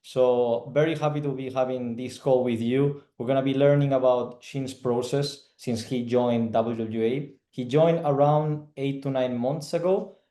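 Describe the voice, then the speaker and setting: Mellow-voiced host in an echoey room. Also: male voice, mid-range voice. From Spain. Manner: rambling questions, fillers like "let's say". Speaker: interviewer, host. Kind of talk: interview conversation.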